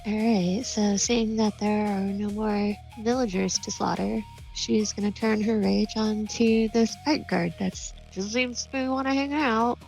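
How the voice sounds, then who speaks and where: A woman narrates her character's moves in a casual tabletop session. She speaks slowly in a medium-pitched, creaky voice over background music. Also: steady tone, darkish tone, poor audio. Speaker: tabletop game player.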